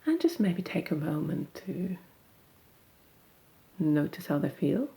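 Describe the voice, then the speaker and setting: A woman guiding a meditation in a gentle, unhurried, soothing manner, leaving long pauses between phrases. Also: low voice, big pitch swings, vocal fry. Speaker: meditation guide. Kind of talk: guided meditation.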